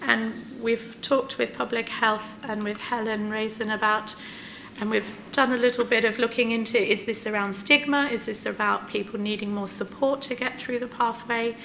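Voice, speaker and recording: A woman's voice: a little high, steady tone, normal speed, vocal fry, fairly bright tone, slight background noise. A woman presenting a report at a formal meeting, careful and hesitant, with frequent fillers. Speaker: clinical lead presenting a report.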